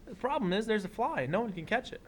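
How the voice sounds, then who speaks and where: Guy teacher reading a kids book out loud, voice a bit high, expressive, stops to explain stuff, bit of background noise. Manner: expressive storytelling, pausing for simple explanatory comments. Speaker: elementary school teacher. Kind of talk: read-aloud of a children's picture book with asides to young viewers.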